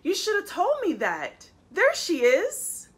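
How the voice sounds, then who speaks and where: A woman's voice: very high voice, huge pitch swings, slow, pitched above the performer's own voice, heavily acted. A woman voicing a helpful, reassuring butterfly in a children's storybook, eager and encouraging in a sing-song way. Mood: eager, triumphant. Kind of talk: children's storybook read-aloud.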